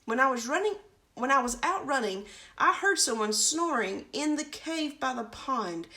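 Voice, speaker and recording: A woman plays a quick male hare from a children's storybook. He sounds eager and friendly, a little raised in pitch. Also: lively intonation, pushed and bright, heavily acted.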